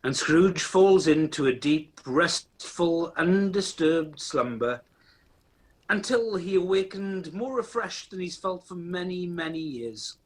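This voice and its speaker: A man's voice: sits high, animated, slow pace, slightly rough, heavily acted, rough recording. A man playing the narrator of an amateur radio play, telling the story directly to the listener. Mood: storytelling.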